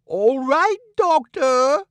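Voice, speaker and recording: A pompous old swindler , as a male reader puts him on, who drawls out each word with smug self-satisfaction. His voice swoops high and low with showy emphasis. The sound is close and dry. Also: big pitch swings, slow, a little mellow, no room sound, full character voice. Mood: mocking. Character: pompous old male con man posing as an Englishman. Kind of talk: audiobook character reading.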